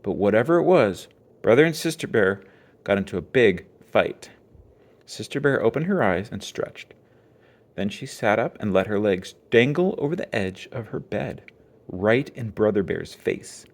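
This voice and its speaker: A man narrating a children's storybook aloud with expressive storytelling. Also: on the low side, moderate pace. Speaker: storybook reader.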